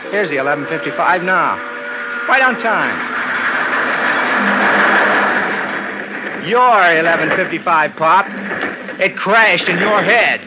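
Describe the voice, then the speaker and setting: An actor playing a scornful, sardonic son, mocking and dry, in an old-time radio mystery style. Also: male voice, sits high, animated, measured pace. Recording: noisy old radio drama recording.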